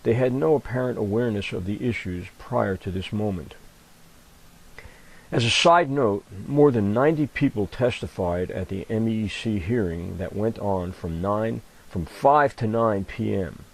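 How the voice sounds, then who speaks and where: A man reporting as narrator, reading a measured, pointed voice-over about an event. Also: on the low side, gravelly, low-quality recording.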